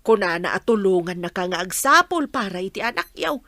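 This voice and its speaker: A woman's voice: mid-range voice, big pitch swings, fairly quick, bright, forceful tone, dry recording, studio quality. An actress playing a concerned mother of a grown son in an Ilocano radio drama. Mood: worried.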